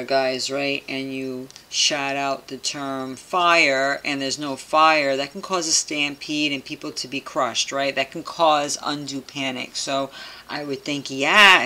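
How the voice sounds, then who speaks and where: Slow-talking narrator with a bright, forceful voice. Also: medium pitch. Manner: conspiratorial, skeptical asides; frequent tag questions to listeners. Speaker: video host commenting on a topic. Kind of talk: reading an article aloud with casual off-the-cuff commentary.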